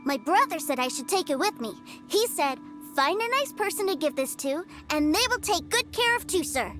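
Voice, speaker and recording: A woman's voice: very high-pitched, very animated, moderate pace. A woman voicing a cheerful, stubborn little boy in a game cutscene, talking in an eager, sing-song way. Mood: earnest. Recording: game cutscene with loud music. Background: game music.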